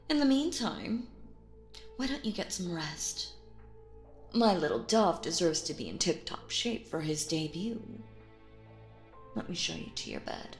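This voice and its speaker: A woman performs as a sinister, doting female magician in an audio drama, speaking slowly and coaxingly. Her theatrical delivery slips into breathy whispers, possessive and controlling. The voice rings with echo over faint music. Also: fairly low pitch, darkish tone. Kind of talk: roleplay audio drama, intimate hypnotic monologue.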